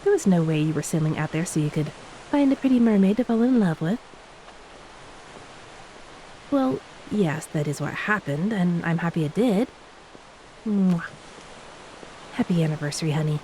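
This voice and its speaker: A woman playing a gentle, playful ex-mermaid wife in a romantic audio roleplay, speaking tenderly and teasingly to a beloved spouse. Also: fairly low pitch, normal speed, lightly acted, poor audio. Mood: playful, loving. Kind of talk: romantic audio roleplay, intimate scripted acting. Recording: steady hiss behind the voice.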